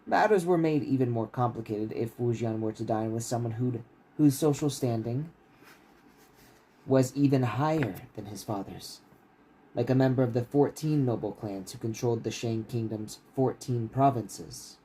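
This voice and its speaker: A man's voice: medium pitch, moderate pace, warm tone, slight background noise, rough recording. A man who reads his own fantasy writing aloud like a bedtime story on a casual livestream. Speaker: author reading own novel aloud.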